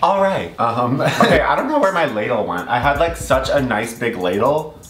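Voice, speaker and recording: A man's voice: mid-range voice, very animated, normal speed. A young man hosting a casual cooking vlog in his home kitchen, chatting playfully and laughing often. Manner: playful, giggly banter. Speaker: vlog host. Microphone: camera mic, medium distance. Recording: echoey kitchen with background music.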